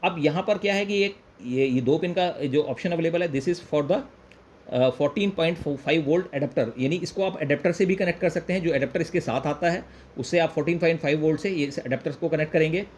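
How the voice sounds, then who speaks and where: Higher-pitched Indian man demonstrating a product. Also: fairly quick, a little mellow. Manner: explanatory, repeats points with Hindi-English code-switching. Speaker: product reviewer and presenter. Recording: clear background noise, room ambience.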